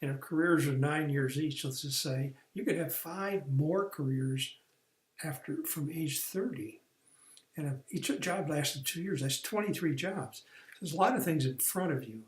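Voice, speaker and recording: A man's voice: on the high side, echoey room. A man explaining a career course in a plain, step-by-step way, with some restarts and fillers. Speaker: career coach. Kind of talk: recorded online training lesson.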